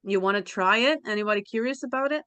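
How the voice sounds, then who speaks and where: A woman's voice: medium pitch, fast, bright, forceful tone, clean tone, no echo. Female discussion host, from Flanders, clean resonant tone, dry sound, friendly and casual. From Flanders, Belgium.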